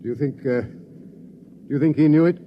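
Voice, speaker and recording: Man as a high-pitched, blustering colonel. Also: moderate pace, muffled recording, natural voice. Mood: grave, worried. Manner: blustery, stiff-upper-lip military exclamations. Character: blustering, gallant old-school male colonel. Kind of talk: 1950s radio drama acting. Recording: faintly noisy 1950s radio recording.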